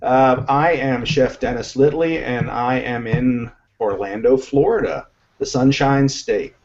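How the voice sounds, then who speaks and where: A male chef joining a panel on a video call, friendly and relaxed. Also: medium pitch, measured pace, dull audio. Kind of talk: casual chat on a video call.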